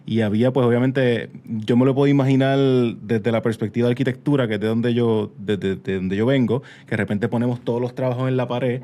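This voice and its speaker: A man hosting a casual interview podcast, telling things in an animated way and mixing in English words. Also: mid-range voice, a little fast, hardly any pauses. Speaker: podcast host.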